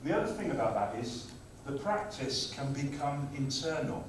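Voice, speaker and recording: A man addresses a conference audience in a relaxed, lively lecturing manner. His voice sits at an ordinary pitch and is picked up from some distance away. It rings through a very reverberant hall with a faint hiss of room noise. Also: normal speed. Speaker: conference speaker on language teaching. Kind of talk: conference talk. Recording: very echoey hall, far from mic.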